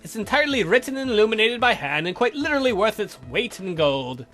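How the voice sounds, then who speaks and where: A man's voice: high-pitched, very animated, quick pace, fairly bright tone. A man voicing a grumpy, defensive old vampire count from a visual novel, indignant, dry and given to swearing. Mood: dry, matter-of-fact.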